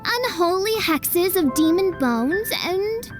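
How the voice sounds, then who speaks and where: A high, slow and deliberate young princess voice, grandiose and melodramatic, in a fantasy game. She speaks in florid, archaic phrases over the music. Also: expressive, heavily acted. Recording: game soundtrack music behind.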